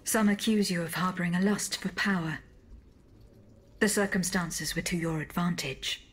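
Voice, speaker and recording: A woman playing a composed, accusing agent in a video game, speaking in a measured, formal and blunt way. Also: on the low side, fairly even intonation, breathy edge. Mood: stern, confronting. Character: composed, accusing female agent confronting a general.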